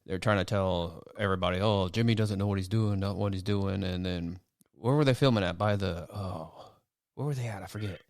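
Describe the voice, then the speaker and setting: A man's voice: low-pitched, brisk, darkish tone, no echo, studio quality. A man hosting a casual podcast, talking in a rambling way and trailing off mid-thought. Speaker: podcast host.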